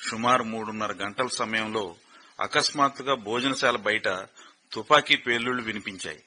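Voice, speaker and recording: A man playing a grave, gentle army officer. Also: fairly low pitch, breathy edge, full character voice. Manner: measured, gentle, calls the girl "mother" fondly. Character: grave male officer breaking hard news gently. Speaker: officer on a phone call, colleague of the father. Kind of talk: radio drama acting. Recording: radio broadcast.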